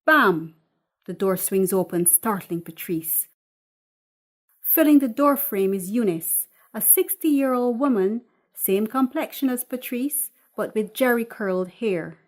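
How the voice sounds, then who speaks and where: A woman's voice: medium pitch, lively intonation, a little slow. A woman reading a screenplay aloud as narrator, delivering scene headings and action in scripted narration.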